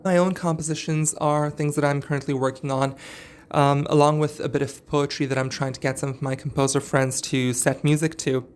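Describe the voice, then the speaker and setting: A young man, a singer, giving a reflective personal statement in an earnest, articulate way with occasional filler pauses. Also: fairly high pitch, level tone, slight background noise.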